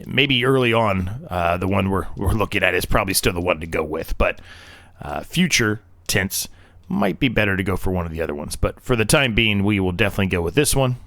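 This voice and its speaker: A man's voice: low-pitched, lively intonation, a little fast, fairly bright tone, dry recording. Hesitant man with a fading voice. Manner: rambling, hesitant, many fillers and restarts. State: losing his voice. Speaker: game streamer. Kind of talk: casual gameplay commentary.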